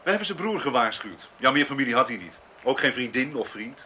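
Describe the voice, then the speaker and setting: An actor playing an earnest, quietly persistent police detective in a naturalistic radio play, serious and matter-of-fact. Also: male voice, mid-range voice, big pitch swings, fast talker. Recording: noisy radio play recording.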